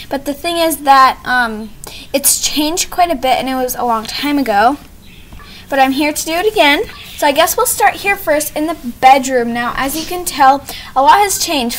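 A young girl with a high voice talks casually as a vlog host. She rambles along chattily and often corrects herself. The homemade recording carries some audible background noise. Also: fairly bright tone. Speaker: host of a doll video channel. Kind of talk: casual home tour vlog chat. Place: small home playroom, indoors. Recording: noticeable background noise, amateur camera audio.

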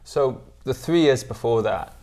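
A man's voice: mid-range voice, measured pace, dark tone. A man in his thirties, a newspaper editor, talking thoughtfully as a guest in a TV studio interview, with many ums and restarts. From Switzerland. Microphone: lapel.

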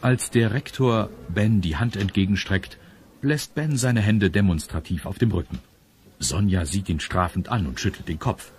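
A man with a husky voice reading scripted narration for a children's audio story. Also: lowish voice, animated, normal speed, slight background noise. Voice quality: husky, raspy. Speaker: narrator of a children's radio play.

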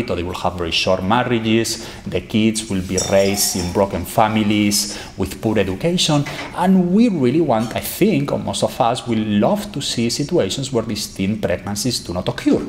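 A man's voice: mid-range voice, slight background noise. A man, giving an academic conference talk at a podium microphone in a lecture hall, gesturing as he speaks. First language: Spanish. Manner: animated, gesturing, emphatic.

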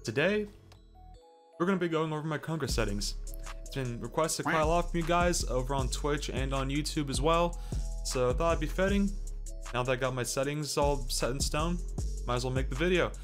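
A young Australian man, a gaming streamer, explains his settings one by one in a relaxed, casual way, his voice lifting on each item he names. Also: on the high side, a little fast, soft background music. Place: small bedroom. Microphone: boom mic on arm near face.

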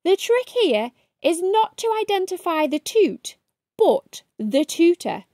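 A woman reading a storybook aloud to children, with theatrical, drawn-out emphasis on key words. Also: extremely high pitch, sing-song, darkish tone, no echo, clean studio sound. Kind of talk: expressive read-aloud narration for children.

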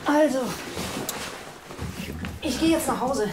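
A woman's voice: sits high, slow pace, heavy background noise. An actress playing a dry, assertive female investigator in a crime radio drama.

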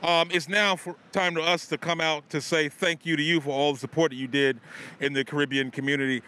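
A man's voice: fairly high pitch, fairly even intonation, a little fast, sharp tone. A middle-aged man interviewing a shop owner on a sidewalk for community TV, talking into a handheld mic with playful promo patter. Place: outdoor sidewalk by shops.

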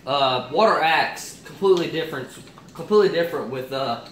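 A man's voice: on the high side, expressive, brisk, fairly bright tone. A young man casually talking through his meal prep at home, thinking aloud with lots of fillers. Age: young adult. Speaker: fitness cooking vlogger. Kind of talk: casual cooking demo. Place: home kitchen, indoors. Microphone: far camera on a stand. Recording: echoey kitchen, far-off camera mic, noisy.

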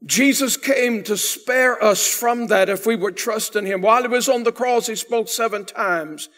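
A man's voice: high-pitched, normal speed. An older man preaching a sermon in a church sanctuary, speaking from the pulpit and pressing hard on key phrases. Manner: emphatic preaching, swelling louder on key phrases. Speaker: pastor.

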